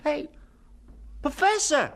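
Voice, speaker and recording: An eager young male assistant in a radio play. He is informal and excitable, with very lively, bouncing intonation. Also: sits high, slow, full character voice. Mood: eager, concerned. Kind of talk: radio drama acting.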